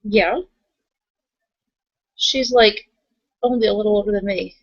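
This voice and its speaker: A woman's voice: mid-range voice, fairly even intonation, a little slow. A woman playing a young, candid werewolf in an improvised roleplay, casual and blunt as she questions things. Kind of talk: improvised in-character roleplay conversation. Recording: dull lo-fi voice recording.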